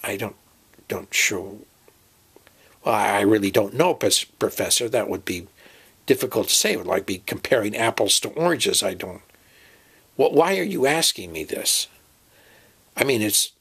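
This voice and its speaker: A man's voice: on the low side, fairly bright tone, slightly rough. A man playing an earnest, agreeable adventurer and documentary maker, talking hesitantly and conversationally in an amateur audio drama.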